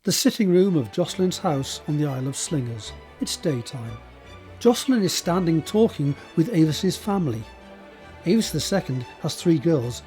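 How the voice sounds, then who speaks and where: A man reading scripted scene descriptions as a narrator, in a measured, descriptive way. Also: a little high, warm tone. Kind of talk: scripted narration of stage directions.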